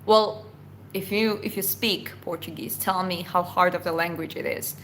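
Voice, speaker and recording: A woman's voice: lowish voice, fairly even intonation, moderate pace, fairly bright tone, slight background noise. A Georgian woman with an Eastern European accent, whose first language is Georgian, hosting a casual chat Q&A and answering viewer questions in a loose, rambling way with lots of fillers and restarts.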